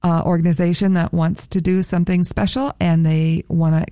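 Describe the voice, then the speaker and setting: Woman, low mellow voice, gravelly edges, upbeat museum promoter, dry studio sound. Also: flat delivery, moderate pace, dry recording. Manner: upbeat, promotional, careful over a foreign word. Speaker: museum staff guest. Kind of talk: radio interview chat.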